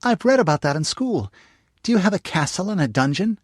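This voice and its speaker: A man's voice: no room sound, studio quality. Man doing a blunt eager little girl. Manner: excited, high and quick. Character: eager nosy young girl, gleefully blunt.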